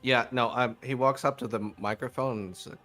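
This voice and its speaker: Brief: male tabletop player, casual and deadpan, faint music behind. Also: medium pitch, a little fast.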